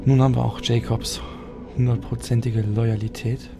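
A man's voice: mid-range voice, little pitch movement, normal speed, vocal fry, over music. A man giving casual let's play commentary in a laid-back, musing manner.

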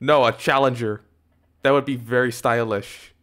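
A young man streaming from a desk mic, chatting casually and excitably with lots of fillers. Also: mid-range voice, moderate pace. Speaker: streamer and audio editor. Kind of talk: casual reaction stream chat. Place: indoor room at desk in gaming chair. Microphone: desk microphone on arm.

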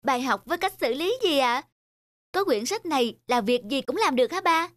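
A woman voicing a curious, eager young turtle son in an animated fairy tale. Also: high-pitched, brisk, dry recording.

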